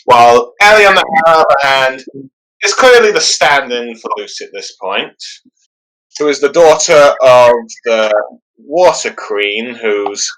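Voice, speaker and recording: A man hosting a podcast, talking through a story in a halting way and often pausing on "let's see". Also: mid-range voice, sing-song, a bit bright, low-quality recording. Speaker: podcast host. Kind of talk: casual podcast discussion.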